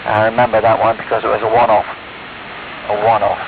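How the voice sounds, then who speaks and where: A man's voice: lowish voice, completely flat delivery, fast, creaky. Older man, rambling chatty ham operator, heard through muffled, hiss-filled shortwave. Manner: chatty, rambling, self-deprecating. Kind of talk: casual on-air radio chat. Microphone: radio transceiver mic heard over the air. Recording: noisy, band-limited shortwave radio hiss.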